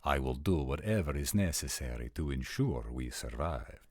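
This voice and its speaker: A man playing a proud, stern male vampire prince with a formal, measured manner in a roleplay audio drama. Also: a bass voice, lively intonation, a little mellow, dry recording, clean studio sound, heavily acted. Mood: cold, formal.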